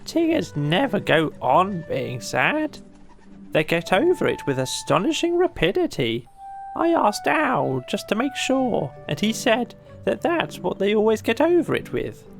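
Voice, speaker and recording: A woman's voice: mid-range voice, huge pitch swings, a little slow, creaky, faint music behind, pitched above the performer's own voice, exaggerated voice. A woman voicing a self-important, scheming male rabbit, earnest and emphatic, in a children's audiobook. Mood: self-satisfied. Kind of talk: children's audiobook character acting.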